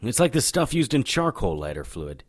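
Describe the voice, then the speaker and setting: A man's voice: mid-range voice, sing-song, moderate pace, no echo, studio quality. A man playing a cocky, sardonic occult detective in a noir-flavored audio drama, showy and needling. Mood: sardonic, probing.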